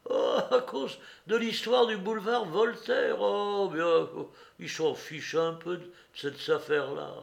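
A man's voice: sits high, slow pace, vocal fry, few pauses, pitched above the performer's own voice, full character voice. A man voicing a brazen, mocking fairground woman with slangy, taunting speech in an audiobook novel. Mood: mocking, defiant.

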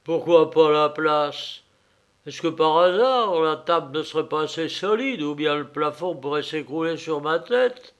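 A man voicing a rowdy drunk masked man who bosses and mocks everyone in a loud, jeering way. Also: fairly high pitch, a little slow, voice pushed up.

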